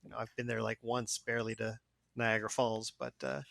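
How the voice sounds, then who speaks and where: A man's voice: fairly low pitch, completely flat delivery, a little fast, gravelly, a bit bright, no room sound. A man co-hosting a podcast who speaks hesitantly, often trailing off mid-sentence. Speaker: podcast co-host. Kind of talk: casual chat.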